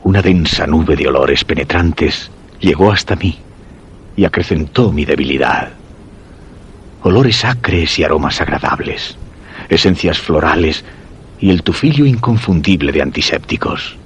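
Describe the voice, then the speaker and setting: An actor playing a weakened, dread-stricken husband narrating a macabre tale in grave, measured Castilian Spanish for a radio horror drama. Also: male voice, very deep voice, lively intonation, slight background noise, exaggerated voice. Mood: grim, weary. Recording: dull radio sound with music underneath.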